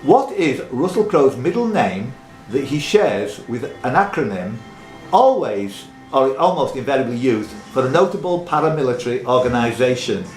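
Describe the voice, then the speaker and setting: A man's voice: medium pitch, very animated, normal speed, darkish tone. A man hosting a live pub quiz, reading questions and chatting teasingly with contestants. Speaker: quiz host. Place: echoey room in a pub. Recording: roomy echo, music in background.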